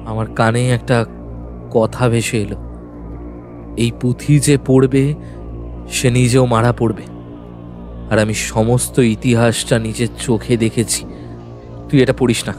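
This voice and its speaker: A man's voice: medium pitch, little pitch movement, a little slow, pauses often, music in the background, close to an everyday voice. A man playing a terrified young man trapped in a haunted mansion in an audio horror story, urgent and pleading. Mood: anxious warning.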